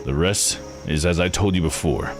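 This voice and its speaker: Man playing a deep, blunt, gruff guy. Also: normal speed, over music, close to an everyday voice. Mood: stern, accusing. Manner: blunt, plain-spoken, probing.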